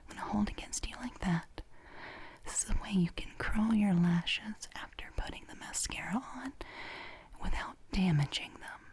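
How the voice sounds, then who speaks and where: A woman whispering gently and haltingly in a soft-spoken ASMR personal-attention roleplay, playing an attentive makeup artist. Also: mid-range voice. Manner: gentle, hushed, unhurried, halting with soft pauses.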